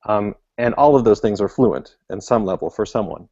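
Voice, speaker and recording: Mellow low-voiced man explaining patiently. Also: expressive, moderate pace, highs cut off. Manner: thoughtful, explanatory, frequent fillers and restarts. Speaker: guest author and language learning expert. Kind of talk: conversational interview answers.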